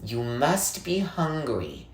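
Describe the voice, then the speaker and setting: A storybook narrator voicing a reserved, sympathetic old village woman who speaks plainly and gravely. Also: mid-range voice, huge pitch swings, slow pace. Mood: guarded. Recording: echoey, roomy sound.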